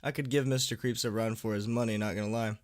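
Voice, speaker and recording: A man's voice: lowish voice, steady tone, quick pace. A man hosting a casual livestream chat in slangy, informal talk, sick with a sore throat and tired. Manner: informal slangy young-streamer talk with frequent "dude" and "man". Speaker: livestream host and horror story narrator. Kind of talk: casual livestream chat, reading and answering viewers.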